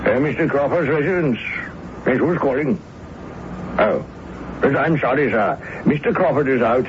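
A man's voice: medium pitch, close to an everyday voice. Man acting a deferential manservant, muffled old radio. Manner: formal, polite, measured. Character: deferential, dryly candid male manservant. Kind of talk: 1970s radio drama acting. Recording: muffled, noisy old radio broadcast recording.

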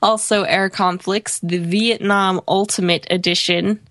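Woman, podcast co-host, sniffly, playful joking delivery, casual chat, medium pitch, very dry sound. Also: fairly even intonation, a little slow, fairly bright tone, no echo. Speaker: podcast co-host reading game release dates.

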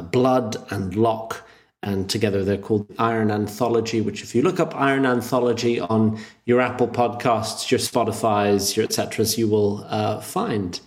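A man's voice: on the low side, darkish tone. A man taking part in a casual podcast conversation. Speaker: podcast participant, performer. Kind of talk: casual chat.

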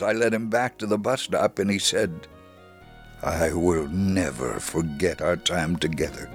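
A man reading a first-person faith story aloud as scripted narration, in a measured, solemn manner. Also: deep voice, dry recording.

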